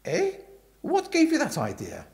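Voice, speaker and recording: A man voicing an excitable, dramatic young upper-class gentleman with exclamatory old-fashioned slang. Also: very high voice, unhurried, gravelly, voice pushed up, heavily acted. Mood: astonished.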